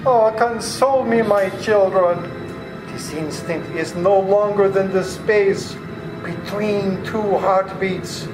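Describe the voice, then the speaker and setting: A man playing an ancient, commanding vampire count in an old-time radio drama, chanting in a portentous way. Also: high voice. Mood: commanding, lonely. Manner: slow, incantatory, portentous. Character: ancient male vampire count, commanding and lonely, summoning darkness. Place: live performance in a public library. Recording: roomy live stage, music behind.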